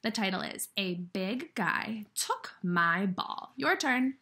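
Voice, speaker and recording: Lady teacher doing a read aloud for little kids, bright slightly husky voice, asks lots of questions. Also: medium pitch, lively intonation, takes it slowly. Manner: instructional, questioning, addressing children directly. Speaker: kindergarten teacher. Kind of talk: read-aloud lesson for young children. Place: indoor room with brick wall, by a window.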